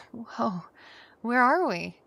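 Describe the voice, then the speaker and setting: A woman's voice: fairly high pitch, expressive, extremely slow, gravelly, a bit bright, slightly rough, no echo, exaggerated voice. An actress playing an amazed, curious young woman visiting a sci-fi city in an audio drama. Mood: awed, excited. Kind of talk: full-cast audio drama acting.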